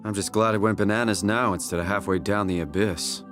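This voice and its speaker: Actor as regular guy game protagonist, lowish voice, casual n full of questions, bit wry, faint music behind. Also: fairly even intonation, fairly quick, fairly bright tone, natural voice. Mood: determined. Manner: casual, inquisitive. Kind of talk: video game voice acting.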